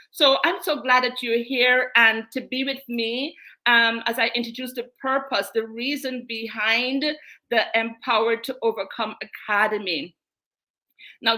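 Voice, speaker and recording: Woman host, raised in Guyana, bright voice, emphatic delivery, repeats key phrases, online talk. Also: fairly high pitch, poor audio. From born in England, raised in Guyana. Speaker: host, menstrual wellness coach. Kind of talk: presentation to an online group.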